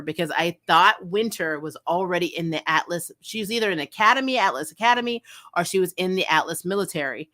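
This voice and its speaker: Bright, forceful woman chatting through a review. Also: lowish voice. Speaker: host of an anime review channel. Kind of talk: casual review chat to camera.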